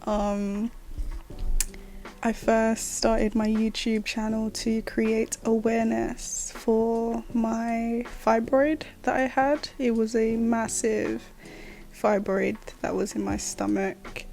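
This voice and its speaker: A woman's voice: on the high side, creaky, mellow. A woman in her late twenties hosting her own podcast, talking casually and rambling with fillers. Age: 20s. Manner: chatty, rambling, with laughs and fillers. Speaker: podcast host. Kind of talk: casual solo podcast chat.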